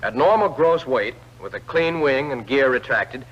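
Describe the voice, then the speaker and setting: A man reads scripted instructional narration for a 1940s military training film, clearly addressing the trainee directly. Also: mid-range voice, lively intonation, moderate pace. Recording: old film soundtrack, dull lo-fi band.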